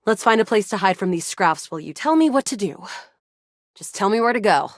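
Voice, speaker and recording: A woman voicing a feisty, excitable young resistance fighter in a sci-fi video game, with a dramatic, playful delivery. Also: medium pitch, brisk, dry recording, studio quality, natural voice. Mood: urgent. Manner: animated, dramatic, playful banter. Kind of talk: video game character voice acting.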